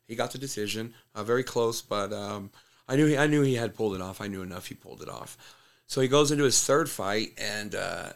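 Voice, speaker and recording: A man's voice: on the low side, fairly quick, gravelly. A man who coaches fighters, telling a fight story bluntly in casual conversation, swearing freely. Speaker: MMA coach and fighter, podcast guest.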